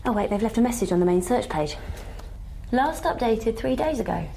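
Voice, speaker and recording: Anxious British survivor, high-pitched voice. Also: female voice, expressive, creaky, warm tone, slight background noise. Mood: tense, urgent. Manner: urgent, halting, pragmatic. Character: anxious, resourceful woman surviving an alien invasion. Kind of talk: naturalistic audio drama acting.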